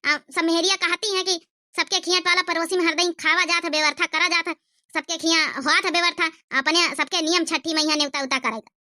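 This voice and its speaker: A woman's voice: extremely high pitch, steady tone, brisk, sharp tone, no echo, studio quality, heavily acted. A woman voicing a demanding, nagging village mother-in-law in a cartoon comedy, with a bossy manner and an Awadhi accent.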